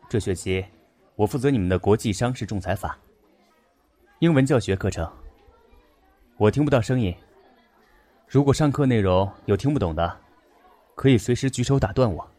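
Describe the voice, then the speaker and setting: Man acting calm, low-voiced young professor. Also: lightly acted. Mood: composed authority. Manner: composed, measured. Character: calm young male law professor, deaf, gently authoritative. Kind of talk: Chinese campus radio drama acting.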